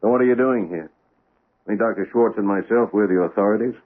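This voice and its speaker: An actor playing a cynical police lieutenant who presses hard with skeptical questions. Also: male voice, lowish voice, fast, natural voice. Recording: tinny old radio broadcast.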